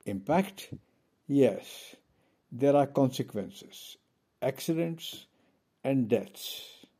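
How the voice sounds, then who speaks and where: A man from India giving a pointed, measured speech from a conference podium. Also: medium pitch, lively intonation, dark tone, slightly rough. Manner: measured, pointed, with pauses between phrases. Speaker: conference speaker.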